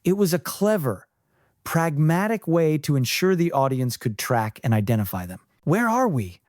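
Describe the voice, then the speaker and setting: A man reading scripted fiction as an audiobook narrator, in a measured storytelling manner. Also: a little high, expressive, a little mellow, no echo, studio quality.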